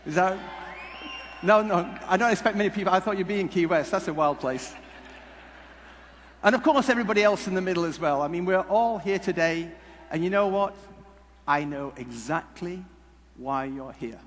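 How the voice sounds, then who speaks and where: A man with a high voice presents on stage in a jokey, halting style. He wanders through humorous stories as a conference speaker. The hall adds long reverb, with some noise behind him. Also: fairly bright tone. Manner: jokey, halting, rambling delivery. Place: large conference hall before an audience. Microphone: headset mic. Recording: echoey hall PA with background noise.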